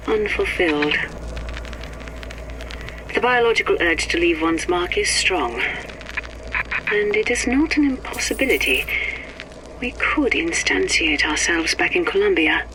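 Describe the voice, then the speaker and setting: A woman's voice: lowish voice, background noise. An actress playing a cool, cerebral female scientist recording a video game audio diary, formal, reflective and precise.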